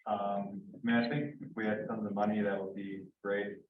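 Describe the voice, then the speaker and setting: A man with a lowish voice, tentatively thinking out loud at a community meeting. He is heard from across an echoey room, and the sound is a bit dull, with faint noise underneath. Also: flat delivery, normal speed, recorded from a distance. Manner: tentative, brainstorming aloud. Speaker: resident at meeting.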